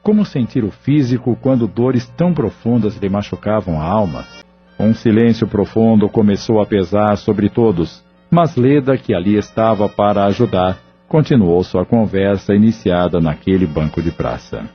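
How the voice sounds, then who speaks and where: A man narrating an audio novel in a measured, somber storytelling voice. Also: on the low side, lively intonation. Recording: dull lo-fi audio with faint music.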